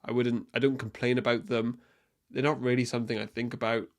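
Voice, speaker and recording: A man's voice: medium pitch, level tone, fairly quick. A young man from Wales, hosting a casual solo sports podcast and talking in an opinionated, rambling way with frequent ums and restarts. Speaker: podcast host.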